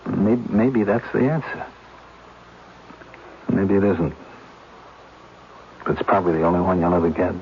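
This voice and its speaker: Man playing an earnest, halting husband, muffled radio drama. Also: low voice, lightly acted. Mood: tender, consoling. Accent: American. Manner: halting, repetitive, plain-spoken, earnest. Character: earnest, humorless, tender, overworked policeman husband. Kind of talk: 1970s American radio drama acting. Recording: dull, noisy old radio broadcast.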